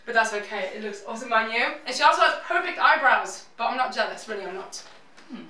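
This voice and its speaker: Bright high woman with a put-on British accent. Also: animated, fast, slight background noise. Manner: jokey, upbeat, self-mocking asides. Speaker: host of a live music show. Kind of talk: playful show introduction. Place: living room show space in a house. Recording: roomy sound, mic at a distance.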